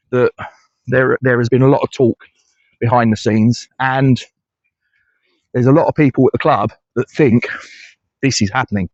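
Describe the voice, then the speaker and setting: A man's voice: mid-range voice, darkish tone, no echo. Blunt middle-aged man, dull dry sound. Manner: blunt, conversational, hesitant restarts. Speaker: fan channel host. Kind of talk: solo fan commentary.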